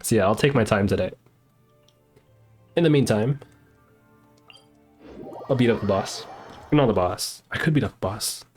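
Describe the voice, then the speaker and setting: A man's voice: lowish voice, moderate pace, pauses often, darkish tone, slightly rough, faint music behind. A man streaming a video game, giving easygoing, casual commentary as he plays and talks to his viewers. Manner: easygoing, chatting to viewers and himself. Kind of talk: casual live gameplay commentary.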